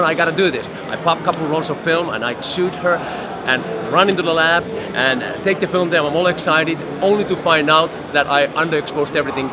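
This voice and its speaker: A middle-aged man from Finland, a photographer telling stories in an interview on a busy trade show floor. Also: fairly high pitch. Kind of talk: casual interview storytelling. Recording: loud crowd noise behind.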